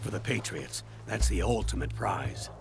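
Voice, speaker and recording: A low male voice with a creaky edge for an older military commander in a game. He explains strategy gravely and in measured phrases, with music playing behind him. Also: expressive. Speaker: video game character. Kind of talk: dramatic video game briefing.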